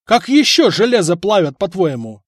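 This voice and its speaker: A man's voice: a little high, expressive, normal speed, a little mellow, no echo, clean studio sound. A man voicing a burly, proud blacksmith who blusters at his wife in a storybook reading. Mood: gruff blustering. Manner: gruff, blustering.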